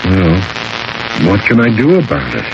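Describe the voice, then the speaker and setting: A man playing an earnest, bewildered fellow in a radio drama, halting and stammering over repeated words. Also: medium pitch, lively intonation, creaky. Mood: sheepish, hesitant. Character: bewildered, earnest man, hesitant and easily startled. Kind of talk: 1970s radio drama acting. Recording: hissy, dull 1970s radio broadcast.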